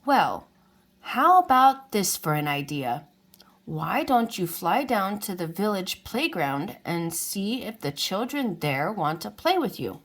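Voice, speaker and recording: Caring storybook mother dragon, motherly and coaxing, unhurried, read by a woman. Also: medium pitch, sing-song, a bit bright, heavily acted. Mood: encouraging. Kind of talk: children's storybook character reading.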